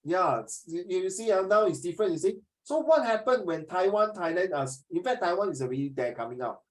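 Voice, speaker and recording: Animated man tutoring with Singaporean English. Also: high-pitched, brisk. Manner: animated, mixes in Mandarin, rambling asides. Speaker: social studies tutor. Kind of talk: online class teaching.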